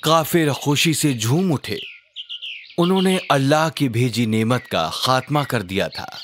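A man voicing an encouraging father who narrates a children's cartoon story in an expressive way. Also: mid-range voice, measured pace, a little hiss or hum. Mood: solemn storytelling. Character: warm bespectacled father telling his child religious stories.

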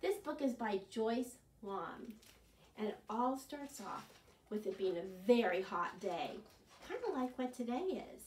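A woman's voice: fairly high pitch, a little slow, slight background noise. Older woman reading a storytime to children. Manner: animated and sing-song, with gestures. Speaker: children's librarian. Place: living room, seated in an armchair. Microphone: camera at a distance. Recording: echoey room, far from the mic.

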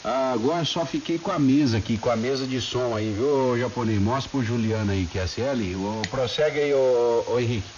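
A man's voice: mid-range voice, normal speed, few pauses, a crowd in the background. A Brazilian man in a casual, colloquial radio chat with friends, heard through a shortwave ham radio transmission. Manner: colloquial, addresses friends by name. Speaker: amateur radio operator in a group contact. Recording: muffled, hissy, very noisy shortwave radio.

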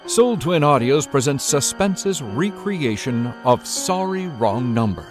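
A man works as announcer for a radio drama. His scripted delivery is dramatic and varied, at a medium pitch. Soft music plays behind him. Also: expressive, slow pace.

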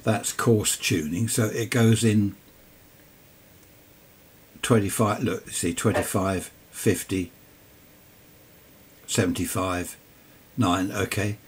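A man's voice: lowish voice, steady tone, takes it slowly, pauses often. An older man with a southern English accent, chatting casually through an unscripted demonstration, rambling with little asides and questions to himself. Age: older, 60s or 70s. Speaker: amateur radio hobbyist presenting a video. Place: cluttered indoor home radio workshop.

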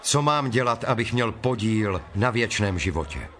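A man's voice: on the low side, expressive, fairly bright tone, slight background noise. A man playing a respectful, earnest rich nobleman in a dramatized scripture dialogue.

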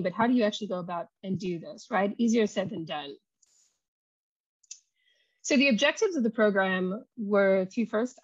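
A woman's voice: medium pitch, breathy edge, no echo, lo-fi sound. A woman lecturer giving a conversational academic talk over slides, explaining with frequent ums and you knows. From not South African. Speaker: university oceanography lecturer. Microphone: video call.

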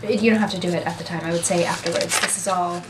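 A young woman leads a workshop in a small conference room, her voice low and fairly flat in pitch. She explains at a steady pace while gesturing with her hands. A faint hiss sits under the camera sound. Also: quick pace. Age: young adult. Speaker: workshop presenter. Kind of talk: seminar talk.

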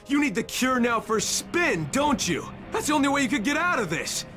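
An actor playing a defiant, sardonic stranded operative in a video game, confronting the people who betrayed him. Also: male voice, very high-pitched, very animated, moderate pace, pushed and bright, faint music behind, full character voice. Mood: angry, scornful. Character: defiant stranded male operative turning on his corrupt employers.

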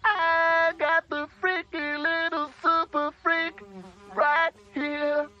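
A sleazy male funk zombie villain from a video game. He taunts in slow jive talk, pitched extremely high, in slightly dull audio. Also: flat delivery, bright, forceful tone, faint music behind, exaggerated voice. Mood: gleeful. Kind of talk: video game cutscene voice acting.

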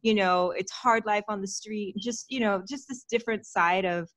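A woman's voice: medium pitch, normal speed, fairly bright tone, no echo. A woman in her thirties from Texas, telling her life story openly as a podcast guest, with fillers and small laughs. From Texas, United States. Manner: chatty and open, with frequent fillers and small laughs. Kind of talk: candid personal storytelling in an interview. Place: small room at home, indoors. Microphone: large studio mic with foam cover, close.

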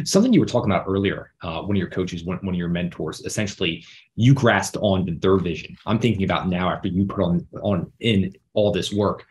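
A man's voice: deep voice, slight background noise. A man hosting a podcast who affirms his guest and asks reflective questions. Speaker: podcast host. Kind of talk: casual interview questions.

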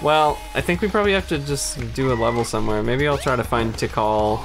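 A man chats casually as a let's play co-host. His pitch sits in the middle range for a man, and game music plays clearly behind him. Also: brisk. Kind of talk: casual gameplay chat.

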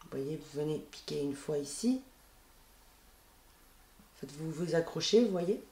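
A woman with a head cold gives a calm step-by-step crochet lesson, counting her stitches aloud as she works at a table. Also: low-pitched, a little slow. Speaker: crochet tutorial host. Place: indoors at a wooden table.

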